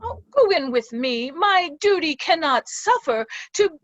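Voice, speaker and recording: A woman's voice: very high-pitched, very animated, unhurried, no room sound, dull audio, full character voice. A woman playing a dutiful, troubled older lord, solemn and concerned, in an amateur Shakespeare reading.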